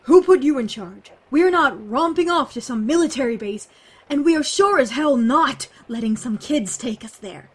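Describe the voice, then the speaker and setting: A woman playing a hot-tempered, foul-mouthed, skeptical survivor in an audio drama, biting and confrontational, with a husky voice. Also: high voice, animated, normal speed. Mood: angry. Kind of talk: fan audio drama acting.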